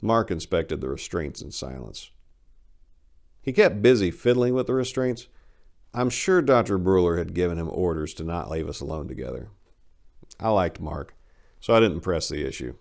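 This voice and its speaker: A man with a very deep voice hosts and narrates dramatic readings. His pitch moves widely as he goes, and his delivery is theatrical and emphatic. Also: lively intonation, normal speed, creaky. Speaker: channel host, author reading stories. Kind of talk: scripted introduction and dramatic narration.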